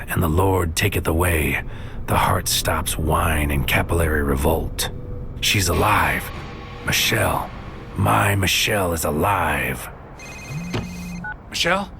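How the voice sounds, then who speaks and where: Brooding obsessed soldier, really low voice, intense noir audio drama monologue, music underneath. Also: male voice, vocal fry, heavily acted. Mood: bitter, yearning, then desperate, pleading. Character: brooding, obsessed psychic soldier, an average-seeming man. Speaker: narrator and lead character. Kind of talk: scripted first-person noir audio drama narration.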